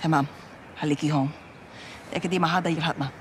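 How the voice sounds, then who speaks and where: An actress with a Middle Eastern accent playing a resolute, guarded rebel commander in a video game, terse and commanding. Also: female voice, deep voice, fairly even intonation, very fast, a little hiss or hum, lightly acted. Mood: firm, commanding. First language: Arabic. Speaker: militia commander. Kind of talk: video game cinematic acting. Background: cinematic music.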